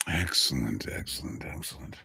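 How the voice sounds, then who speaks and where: A man's voice: moderate pace, pushed and bright, no room sound. A man, a voice actor, chatting casually on a livestream and talking straight to a viewer. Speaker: voice actor streamer.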